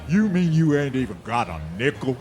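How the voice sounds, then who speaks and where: An actor playing a mocking, menacing cowboy bully with a taunting drawl in a western radio drama. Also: male voice, medium pitch, sing-song, normal speed, a little mellow, close to an everyday voice. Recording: music behind the voice.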